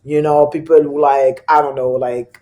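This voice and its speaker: French-accented guest telling lively stories. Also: medium pitch, normal speed, dull audio. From Europe. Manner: animated storytelling with frequent "you know" fillers. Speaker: podcast guest. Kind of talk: casual conversation.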